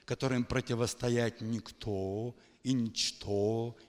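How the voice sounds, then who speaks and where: A man preaching a charismatic sermon to a congregation, emphatic, swinging from hushed lines to shouted exclamations. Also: mid-range voice, fairly even intonation, slow. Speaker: pastor. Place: church hall. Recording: long hall reverb.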